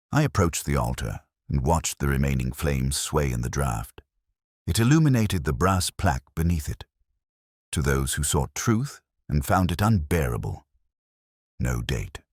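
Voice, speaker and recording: Male actor as older detective narrator for audio drama: extremely low voice, reflective, weary, literary delivery, dry studio sound. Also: slightly rough, dry recording, full character voice. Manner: measured, literary, melancholic. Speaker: first-person narrator.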